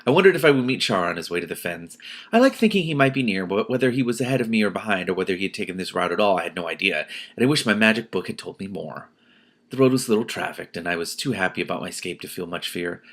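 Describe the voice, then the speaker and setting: A man's voice: low-pitched, lively intonation, quick pace, fairly bright tone. A man reading a novel aloud expressively, breaking off to comment and exclaim in his own words. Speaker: reader and commentator, host of a read-aloud series.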